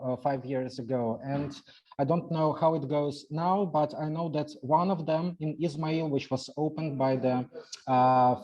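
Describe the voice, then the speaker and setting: A man's voice: medium pitch, mellow, poor audio. A man with a Ukrainian accent speaking in an online panel discussion, hesitant with many fillers, over a video call. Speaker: session participant.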